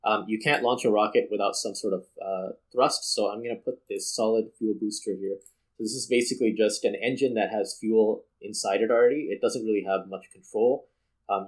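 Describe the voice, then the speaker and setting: Low-voiced man hosting a gaming video. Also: rough recording. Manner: explanatory, addressing viewers directly. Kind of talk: casual unscripted gameplay commentary.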